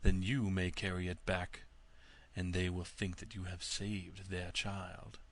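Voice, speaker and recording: A man voicing a sly storybook wolf who gives scheming advice in a slow, confiding drawl. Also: low-pitched, flat delivery. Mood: sly, reassuring.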